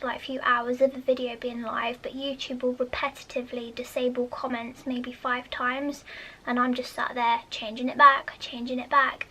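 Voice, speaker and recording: A woman with an English accent and a soft, high-pitched, childlike voice, chatting casually in a vlog and often dropping to a whisper. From United Kingdom. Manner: chatty, rambling with fillers. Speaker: vlogger.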